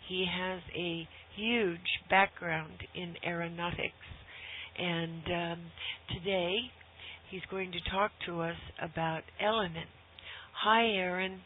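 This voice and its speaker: A woman's voice: fairly low pitch, steady tone, slow pace. A woman hosting a conversational radio interview. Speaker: radio show host. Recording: tinny phone-like audio, clear background noise.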